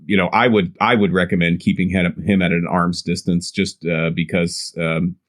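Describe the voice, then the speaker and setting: A man with a fairly low voice improvises in character at a tabletop game. He rambles hesitantly, feeling his way through each thought with an apologetic air. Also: flat delivery, normal speed.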